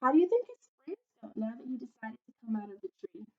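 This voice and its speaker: High-pitched young woman reading aloud, very animated. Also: fast talker, muffled recording. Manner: dramatic, stretching out words, checking in with listeners. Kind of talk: expressive picture-book read-aloud with questions to children. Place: small indoor playroom.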